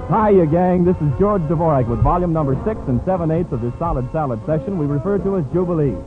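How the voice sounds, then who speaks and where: Male radio announcer, 1940s jive style, theatrical delivery, raised pitch, narrow lo-fi vintage broadcast sound. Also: expressive, fairly quick, faint music behind. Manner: showy, theatrical jive patter.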